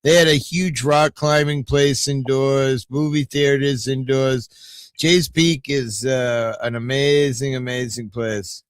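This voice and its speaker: A man's voice: medium pitch, fairly even intonation, slow, smooth, no room sound. A man in his late fifties hosting a live stream, chatting casually in a rambling, easygoing way with lots of fillers. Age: 50s. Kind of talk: casual chat.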